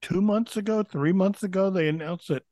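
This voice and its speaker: Man from Texas, fairly high voice, dry close sound, casual reminiscing. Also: moderate pace, warm tone, no echo. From Texas, United States. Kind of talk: casual reminiscing in group chat.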